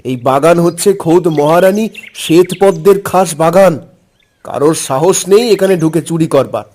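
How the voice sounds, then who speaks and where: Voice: medium pitch, normal speed, darkish tone, lightly acted. একজন কণ্ঠশিল্পী রূপকথার কার্টুনে ফাঁকিবাজ, ভীতু অথচ বড়াই করা বাগানরক্ষীর চরিত্রে অতিরঞ্জিত, আমতা আমতা ভঙ্গিতে কণ্ঠ দিচ্ছেন।. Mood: blustering. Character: sleepy shirking garden guard, nervous, boastful, obsequious. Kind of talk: animated fairy tale voice acting.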